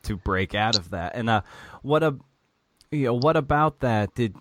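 A man's voice: mid-range voice, animated, moderate pace, no echo. A man interviewing a guest, asking searching questions full of likes and restarts. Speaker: podcast host interviewing. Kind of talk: interview conversation.

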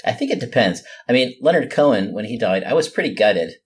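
Husky, lively-pitched male co-host. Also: a little fast. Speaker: podcast co-host, writer. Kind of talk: casual chat.

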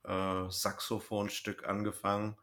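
Low-voiced man chatting casually. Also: monotone, a little slow, bright, forceful tone. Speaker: podcast co-host; bus driver. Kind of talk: casual podcast chat, audio drama review.

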